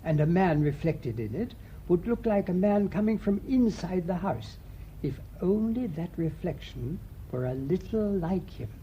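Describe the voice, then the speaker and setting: A British man acting a thoughtful, shrewd priest sleuth in a radio mystery, speaking in a measured, deliberate way. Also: animated. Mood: patient, explanatory. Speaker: actor in the lead detective role. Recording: muffled radio recording with faint rumbling noise.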